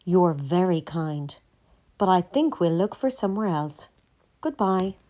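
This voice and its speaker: Woman as a slow, courteous storybook gander. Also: on the low side, lively intonation, mellow. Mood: polite, apologetic. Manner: measured, courteous. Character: kindly male gander, protective, courteous and calm.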